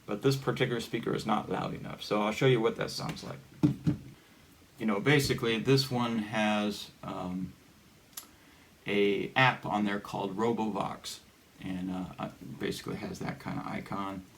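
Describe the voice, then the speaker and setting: A man with a lowish voice walks through a gear demonstration casually and off the cuff. He rambles and halts as he explains each piece. The recording has clear background noise and clicks. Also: steady tone, moderate pace, a bit bright. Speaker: hobbyist presenter giving a gear tutorial.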